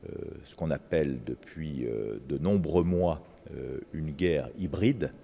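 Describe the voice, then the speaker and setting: Man, extremely deep voice, gravelly, slow, hesitant fillers, long hall reverb. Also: mellow. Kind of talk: public statement.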